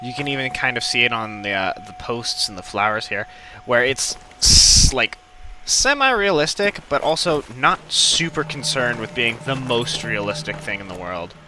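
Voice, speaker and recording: A man's voice: medium pitch, animated, normal speed, noisy background. A man hosting a let's play with casual, hesitant, rambling gameplay commentary.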